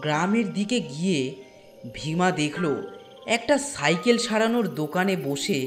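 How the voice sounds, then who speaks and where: A man narrating a scripted horror story, dramatic, with deliberate pauses. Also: sits high, animated, a bit bright. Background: music.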